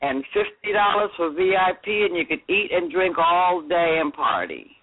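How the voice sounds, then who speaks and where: A woman in her seventies from Connecticut, telling stories as a guest in a radio interview in a chatty, lively way. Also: on the low side, normal speed, husky, no room sound. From Connecticut, USA. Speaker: fashion designer guest. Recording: dry, tinny phone-like radio sound.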